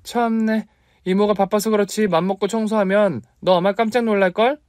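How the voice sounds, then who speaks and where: Scatterbrained young aunt, warm and high-pitched, read by a man. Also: normal speed, dry recording, clean studio sound, lightly acted. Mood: teasing.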